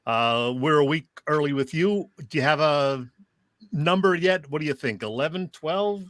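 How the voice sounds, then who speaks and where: A man's voice: fairly high pitch, moderate pace, fairly bright tone, no echo. A man co-hosting a sports show with casual, conversational talk and questions. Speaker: sports radio show co-host.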